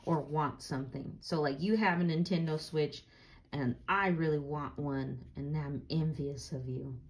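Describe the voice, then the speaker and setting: A woman's voice: low-pitched, steady tone, a little slow. A woman reading a storybook aloud and casually explaining it in simple words for children.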